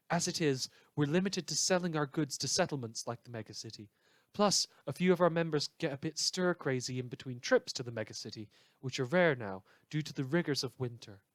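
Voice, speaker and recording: A man's voice: on the high side, no echo, natural voice. A man playing a sardonic villain who hosts a post-apocalyptic survivalist radio show, with a theatrical, sarcastic delivery. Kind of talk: fiction podcast as in-character radio monologue.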